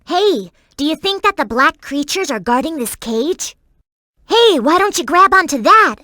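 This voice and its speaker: High, perky girl game sidekick. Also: sing-song, a little slow, pushed and bright, no room sound, studio quality, full character voice. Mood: helpful, curious. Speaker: in-game hint-giving companion. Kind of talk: video game voice acting.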